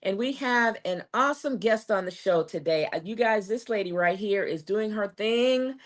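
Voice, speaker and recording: A woman's voice: mid-range voice, lively intonation, moderate pace. Upbeat woman talk show host. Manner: upbeat, hyped, laughing often. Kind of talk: casual interview.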